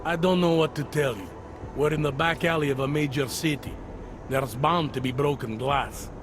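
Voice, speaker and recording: A man's voice: on the high side, moderate pace, noisy background, lightly acted. A man acting a world-weary, middle-aged police detective in a video game, with a hesitant, wry, conversational delivery. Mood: skeptical. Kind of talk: video game cutscene dialogue.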